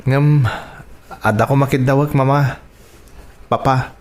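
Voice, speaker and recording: A man's voice: mid-range voice, fairly even intonation, a little slow, lightly acted. A Filipino man playing a repentant young student son in a radio drama, earnest and respectful in manner. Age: young adult.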